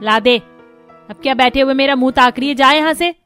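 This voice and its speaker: A woman voicing a domineering middle-aged mother-in-law in a cartoon story, harsh, commanding and sharp-tongued. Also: high voice, fairly quick, smooth, no room sound, faint music behind. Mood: scornful, bossy.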